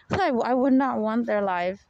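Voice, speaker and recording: A young woman with a deep, low voice and a gravelly edge talks through a personal podcast. She rambles and confides, and often drops in "you know" and small laughs. The sound is dry and close, with no room around her. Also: slow, a little mellow, dry recording, highs cut off. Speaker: podcast host.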